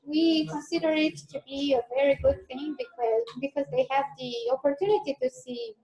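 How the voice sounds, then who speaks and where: A woman's voice: high voice, expressive, moderate pace, mellow. A young woman with a Romanian accent hosting a student club meeting, speaking hesitantly with many fillers. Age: young adult. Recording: echoey room, faint noise.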